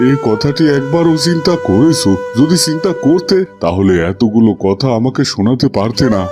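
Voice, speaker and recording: A man's voice: mid-range voice, lively intonation, normal speed, lightly acted. A man voicing a patient, protective middle-aged village uncle in a fairy-tale cartoon, reasoning in a fatherly way over music. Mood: exasperated, reasoning. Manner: reasoning, fatherly, gently remonstrating.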